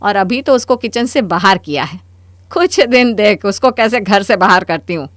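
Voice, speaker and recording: A woman voicing a spiteful, scheming middle-aged mother-in-law in a cartoon story, with a sharp, taunting, bossy delivery. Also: medium pitch, expressive, moderate pace, no echo, close to an everyday voice. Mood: spiteful, stubborn. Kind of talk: Hindi cartoon story dialogue.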